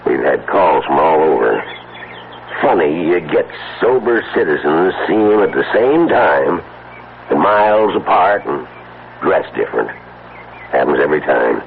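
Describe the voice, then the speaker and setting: An actor playing a skeptical, weary police officer in a radio drama, with a dry, sarcastic, dismissive way of talking. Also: male voice, very deep voice, big pitch swings, measured pace, vocal fry, phone-quality sound, exaggerated voice. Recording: noisy, band-limited old radio broadcast.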